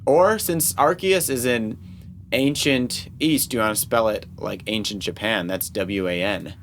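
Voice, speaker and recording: A woman's voice: extremely low pitch, measured pace, slight background noise. A woman chatting casually and instructively during gameplay, patiently coaching and spelling things out. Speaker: adult helper in a child's Let's Play.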